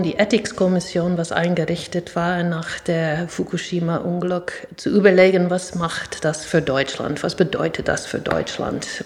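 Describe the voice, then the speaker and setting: A woman's voice: lowish voice, a little mellow. A middle-aged woman with an American accent, a native English speaker, answering spontaneously as an academic interview guest. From USA, New York. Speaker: interviewee, professor and committee chair. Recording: reverberant hall.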